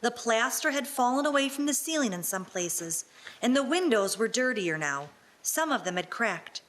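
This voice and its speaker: A woman's voice: a little high, big pitch swings, normal speed, a bit bright. A woman narrating a scripted story in a radio-play style.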